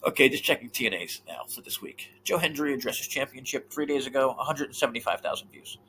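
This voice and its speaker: Animated, swearing podcast co-host man. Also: medium pitch, fast talker, a little hiss or hum, poor audio. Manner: animated, swearing, trails off mid-thought. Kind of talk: casual podcast chat.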